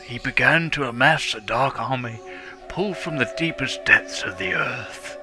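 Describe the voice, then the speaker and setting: A man voicing a wise old male fire dragon mentor, telling the legend in a solemn, measured way, with music underneath. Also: medium pitch, lightly acted. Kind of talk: dramatic script reading.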